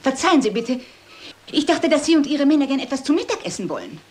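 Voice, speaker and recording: Quick, high-voiced obliging lady. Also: sing-song, a little mellow. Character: obliging, chatty lady aboard a training ship. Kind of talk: German dubbed crime film acting.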